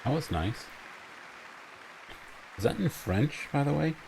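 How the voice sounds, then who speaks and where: A man's voice: a little high, animated, slow pace, vocal fry, a little mellow, slight background noise, poor audio. A man giving casual commentary as he plays through a video game. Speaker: game streamer.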